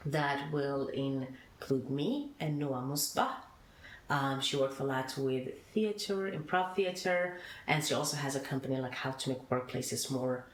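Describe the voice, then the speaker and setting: A woman from Sudan, a founder being interviewed, giving earnest advice in short, halting phrases. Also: sits low. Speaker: guest, founder. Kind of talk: podcast interview answers. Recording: echoey room, faint noise.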